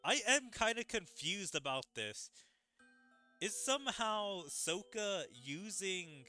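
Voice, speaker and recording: A man's voice: high-pitched, sharp tone. A man streaming a game, casually thinking aloud about its story. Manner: musing, thinking aloud in questions. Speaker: gaming streamer. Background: mallet-percussion game music.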